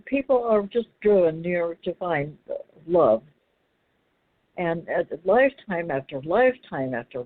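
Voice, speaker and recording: A woman's voice: fairly low pitch, no room sound. A woman talking earnestly and conversationally about spiritual ideas, repeating phrases for emphasis and restarting sentences, over a thin phone-like connection. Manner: emphatic repetition, broken restarts. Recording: narrow, tinny phone-line sound.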